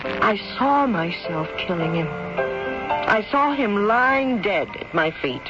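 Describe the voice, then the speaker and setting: An actress playing a bitter, frustrated middle-aged woman, speaking in an intense, halting confessional style for radio mystery. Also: mid-range voice, very animated. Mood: haunted, tense. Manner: dramatic, halting confessional monologue. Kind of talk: 1970s radio mystery acting. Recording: dull lo-fi radio broadcast, music.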